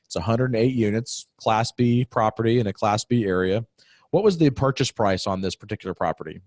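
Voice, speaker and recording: A man in his thirties hosting an interview podcast from a home office on a desk mic, upbeat and quick. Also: lowish voice, darkish tone, no echo. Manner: upbeat, quick, scripted-sounding intros. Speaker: podcast host. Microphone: desk podcast mic on video call.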